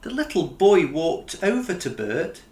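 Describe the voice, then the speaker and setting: A man narrating a children's picture book in an expressive storytelling style, as the author reading aloud. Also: a little high, unhurried, reverberant.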